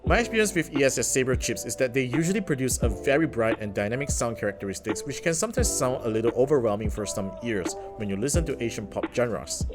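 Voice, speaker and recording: A man talks through a gadget's features in an upbeat, casual review style at a mid-range pitch. Clear background music plays under his voice the whole time. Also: expressive, fast, few pauses. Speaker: tech reviewer.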